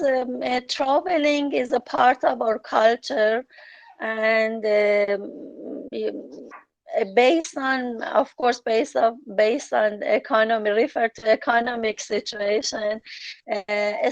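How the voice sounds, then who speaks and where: A woman's voice: a little high, steady tone, slow pace, dry recording, lo-fi sound. A woman whose first language is Farsi, a journalist and travel writer answering interview questions hesitantly, with many fillers and restarts. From Iran.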